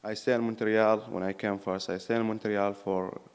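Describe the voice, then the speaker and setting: Young Syrian man, halting English. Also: on the low side, level tone, clear, resonant tone. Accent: Syrian Arabic. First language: Arabic. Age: young adult. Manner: simple halting English, apologetic. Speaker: youth program client speaking at a meeting.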